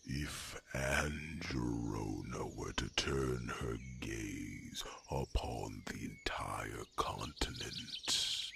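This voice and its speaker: A man voicing a huge, ancient, wise dragon in a slow ASMR fantasy roleplay, speaking in a gravelly, breathy half-whisper with deliberate pauses and rumbling hums. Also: bright, forceful tone, slight background noise, heavily acted.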